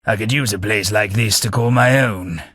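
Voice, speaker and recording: An English actor voicing a posh, sardonic ghoul gentleman companion in a video game, with a plummy, dry delivery. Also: on the low side, normal speed, slightly rough, no echo. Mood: wry. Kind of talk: game voice lines.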